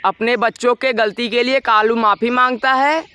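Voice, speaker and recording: A man's voice: very high voice, fairly even intonation, normal speed, bright, forceful tone, smooth. A man narrating a scripted children's moral story as storyteller.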